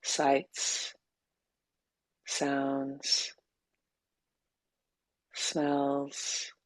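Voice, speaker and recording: A woman teacher leading a guided meditation in a gentle, unhurried way, leaving long pauses between short phrases. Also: rumbling low, completely flat delivery, no room sound.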